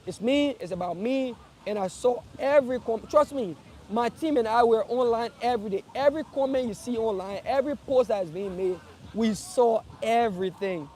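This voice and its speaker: A man's voice: extremely high pitch, moderate pace, warm tone, a little hiss or hum. A young man from Liberia, speaking earnestly to his followers in a casual live address, often repeating and restarting phrases. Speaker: streamer.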